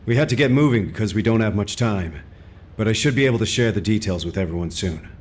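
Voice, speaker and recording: A man's voice: fairly low pitch, expressive, fast talker, darkish tone, a little hiss or hum, close to an everyday voice. A man voicing a composed, decisive commander. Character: steady male commander, authoritative and caring. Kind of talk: game cutscene dialogue.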